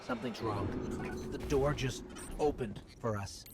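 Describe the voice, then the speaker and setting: A man playing a small, earnest, eager robot companion in a video game, expressive and inquisitive. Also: medium pitch, measured pace, loud music behind the voice, close to an everyday voice. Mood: uneasy.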